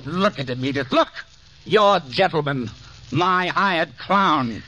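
Casting: bitter, showy man of wealth, bright and animated, theatrical radio-play sneer. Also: fairly high pitch, slow pace, full character voice. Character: bitter, mocking, wealthy host, cruelly theatrical. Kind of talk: 1950s radio drama acting. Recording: noisy vintage radio recording.

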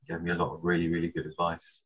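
A man's voice: low voice, completely flat delivery, very fast, fairly bright tone. A man, a composer guest, talking hesitantly with many ums. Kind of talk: casual interview chat. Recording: dull lo-fi sound.

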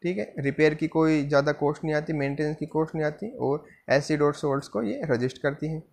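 A man giving a civil engineering slide lecture. He reads each line slowly, then explains it in Hindi, with frequent "theek hai" fillers. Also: fairly high pitch, creaky, darkish tone. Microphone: voice-over on screen-recorded slides.

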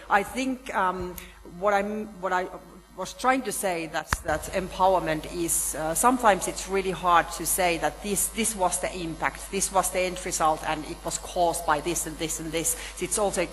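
A middle-aged woman on a panel, answering questions thoughtfully with halting restarts, on a conference hall stage. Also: fairly low pitch, a bit bright, faint music behind. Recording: reverberant hall with faint hum.

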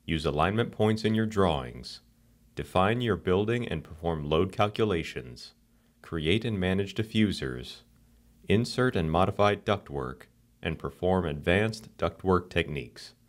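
Man, very low voice, calm scripted tutorial narration, steady and instructional. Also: measured pace.

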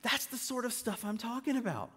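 Guest preacher, man in his thirties, high and lively delivery at a pulpit mic. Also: brisk, breathy edge. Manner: animated, rising to emphatic high peaks. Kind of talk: sermon with scripture reading. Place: church stage, mid-size indoor hall. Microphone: stand mic at a pulpit.

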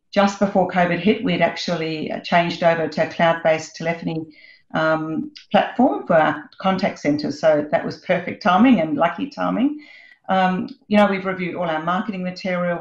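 A woman executive giving a measured, composed answer on a webinar panel over a video call. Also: on the low side. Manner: measured, composed corporate delivery. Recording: roomy, dull video-call audio.

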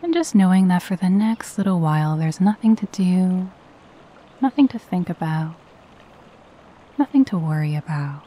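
Soft, husky, mellow woman guiding meditation. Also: fairly low pitch, sing-song. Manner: gentle, soothing, unhurried delivery. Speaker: meditation guide. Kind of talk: guided meditation with spoken affirmations.

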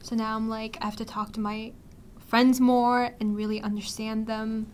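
A teenage young woman, a student actor, chats casually in a panel interview, halting and leaning on "like". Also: fairly high pitch, little pitch movement, darkish tone, a little hiss or hum. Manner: halting, frequent "like". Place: indoor panel, seated group. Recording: faint background ambience.

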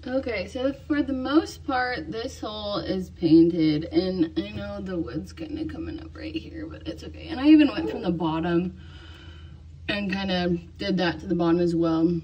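A woman's voice: medium pitch, normal speed, lo-fi sound. A woman rambles through a home kitchen project, stopping and restarting her sentences with plenty of ums. She is recorded on a handheld phone indoors. A faint background hiss sits under her voice. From Nebraska, USA. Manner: chatty, rambling, many "um"s and restarts. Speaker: farm vlogger. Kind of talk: casual DIY vlog narration. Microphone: handheld phone camera.

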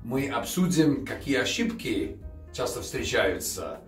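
A man in his fifties hosting a casual advice vlog, speaking straight to the camera in a restaurant room with a lively, direct manner. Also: mid-range voice, a little slow, recorded from a distance. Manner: lively, direct, talks with his hands while holding a glass. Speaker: video host. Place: indoors in a decorated restaurant room. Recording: roomy, a little off-mic, music underneath.

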